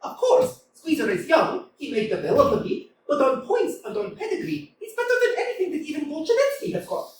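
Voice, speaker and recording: A woman's voice: high-pitched, wildly swooping pitch, brisk, few pauses, darkish tone, a little hiss or hum. A woman voicing a headstrong, argumentative young woman in a staged play reading, teasing and stubborn, heard from a distance in an echoey hall. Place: small theatre stage.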